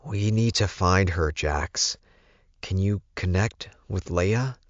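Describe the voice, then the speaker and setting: A man's voice: deep voice, slow, dry recording. A man voicing a commanding, short-tempered male werewolf alpha in an audiobook, emphatic and authoritative. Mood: urgent. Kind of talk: audiobook character voice. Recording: muffled, dull recording.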